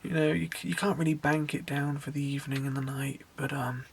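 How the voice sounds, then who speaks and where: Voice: medium pitch, fairly even intonation, a little fast, gravelly, a little hiss or hum. A van-conversion vlogger chatting casually with many fillers and restarts, voice a little worn. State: losing voice. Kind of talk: casual vlog narration. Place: inside a small campervan.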